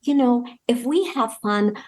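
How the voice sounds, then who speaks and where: Female performer as friendly female pit bull, rescue dog, raised pitch, sweet pleading delivery, earnest and kind, family audio drama. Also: unhurried, a little mellow, natural voice. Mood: earnest, kindly.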